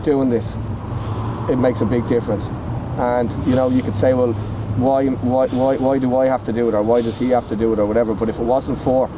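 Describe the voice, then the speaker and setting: A volunteer fighter speaks bluntly and emphatically in an outdoor interview, repeating words for weight. His voice sits at a middle pitch. Traffic and street noise are clearly audible behind him. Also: level tone, brisk. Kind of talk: on-camera interview. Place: city square outdoors. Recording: noisy outdoor street recording. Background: traffic and siren noise.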